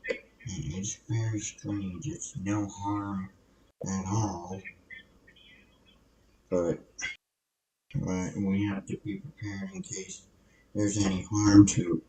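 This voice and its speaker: A man with a very dark, muffled tone speaks slowly and haltingly in news-style commentary. He sounds some way off from the microphone. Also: lowish voice. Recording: distant from the microphone.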